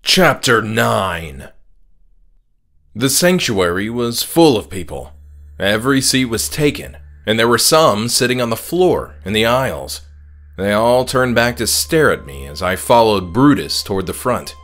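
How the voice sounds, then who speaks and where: A man narrating a scripted horror audiobook in a measured, dramatic storytelling manner. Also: on the low side, very animated, a bit bright. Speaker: author reading his own novella.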